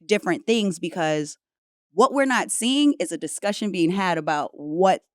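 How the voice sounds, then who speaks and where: A woman's voice: on the low side, normal speed, no room sound, studio quality. Engaged woman in a podcast chat. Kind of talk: casual chat.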